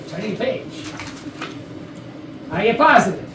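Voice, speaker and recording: Man acting high-voiced pedantic detective, echoey room. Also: unhurried. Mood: badgering. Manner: deadpan, badgering questioning. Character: pedantic, dim, persistent police detective in comedy whodunit. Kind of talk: staged reading of a comedy play. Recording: echoey, distant, noisy room recording.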